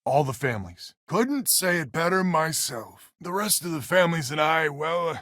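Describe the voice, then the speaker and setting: A man's voice: a little high, lively intonation, normal speed, bright, forceful tone, no room sound, clean studio sound. An actor playing a wry, weary male space colonist who heads a settler family in a video game, with a folksy, sardonic manner. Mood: earnest.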